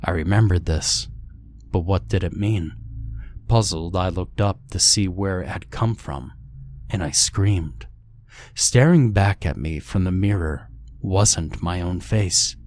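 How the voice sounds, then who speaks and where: Low-voiced man narrating a horror story. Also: slow, a little mellow. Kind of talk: scripted first-person horror narration.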